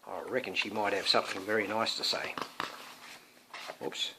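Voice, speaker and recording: A man reading a picture book to his young son, expressive, with coaxing asides to the child. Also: lowish voice, moderate pace, noisy background. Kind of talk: animated storybook read-aloud.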